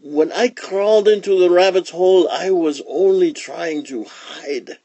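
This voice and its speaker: A man's voice: high voice, unhurried, warm tone, no echo, voice pushed up. A man voicing a slithering python in a storybook, complaining and defensive. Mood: aggrieved, pleading. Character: slithering male python, whiny and aggrieved.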